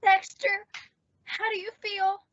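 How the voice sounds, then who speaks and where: Woman as a high voiced lovestruck teen girl, emphatic and dramatic, old radio comedy, dry slightly dull audio. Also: level tone, takes it slowly, fairly bright tone, dry recording, full character voice. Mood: anguished, pleading. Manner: emphatic, pleading girlish delivery.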